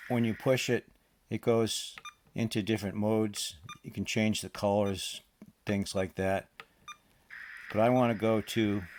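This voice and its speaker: A man giving an informal hands-on demonstration as a radio hobbyist, speaking haltingly and often hesitating. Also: on the low side, darkish tone, a little hiss or hum. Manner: halting, hesitant, throat-clearing.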